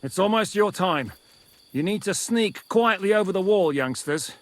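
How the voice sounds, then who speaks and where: An actor playing a stern, foul-mouthed medieval commander in a video game, gruff and used to giving orders. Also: male voice, sits high, animated, moderate pace. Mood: commanding. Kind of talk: dramatic video game acting.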